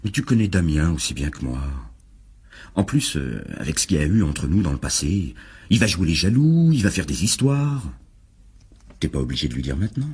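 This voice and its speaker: Give the part to a seductive, scheming playwright in a radio play. His voice is very low and strongly husky, swooping expressively as he coaxes and flatters. He sounds confiding, like a man sharing a secret. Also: moderate pace, exaggerated voice. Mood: secretive. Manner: coaxing, flirtatious, secretive. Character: smooth, persuasive actor-playwright wooing an old flame. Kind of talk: French radio drama acting.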